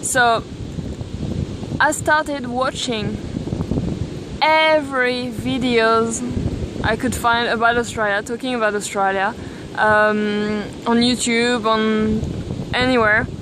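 A young woman from Belgium, filming herself at arm's length on a beach and talking thoughtfully with many pauses and restarts. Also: a little high, steady tone. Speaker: vlogger. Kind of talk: casual selfie vlog. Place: outdoors on a beach, windy. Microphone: camera at arm's length. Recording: wind noise on the mic.

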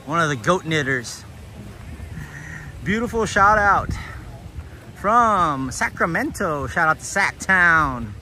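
A man's voice: fairly high pitch, expressive, slow pace, long pauses, bright, forceful tone. A man hosting a casual walking livestream outdoors, chatting in a laid-back way and greeting viewers. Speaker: livestream host. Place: outdoors, walking along a beach. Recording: noisy outdoor ambience, breezy.

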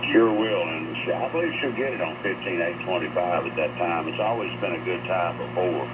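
A man's voice: low-pitched, fairly quick. A man chatting casually on shortwave radio in a folksy, rambling way. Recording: noisy lo-fi shortwave radio reception.

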